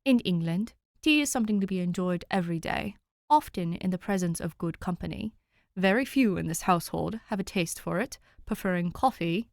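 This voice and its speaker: A woman plays an elderly English butler from a storybook, refined and gently proud. The delivery is formal, courteous and measured, recorded close and dry. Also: fairly low pitch, expressive, vocal fry, no room sound. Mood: courteous, instructive.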